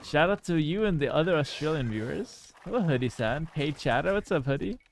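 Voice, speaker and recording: A man's voice: on the high side, expressive, brisk, a little hiss or hum. A man from Canada, chatting casually on a live stream while playing a game, joking, swearing freely and reading viewers' messages aloud. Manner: laid-back, joking, swears casually, reads chat aloud.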